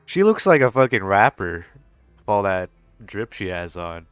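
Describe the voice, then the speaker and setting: A man with a lowish, smooth voice reacts casually to a video game. He rambles informally and swears a bit, close and dry on the mic. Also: big pitch swings, moderate pace, fairly bright tone, dry recording, faint music behind. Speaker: gaming reaction YouTuber. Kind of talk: casual reaction commentary over game footage.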